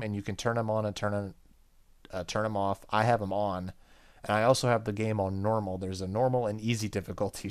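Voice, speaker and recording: A man hosting a gaming video, reading story narration aloud and adding casual, joking asides. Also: lowish voice. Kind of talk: let's play commentary, reading game narration aloud.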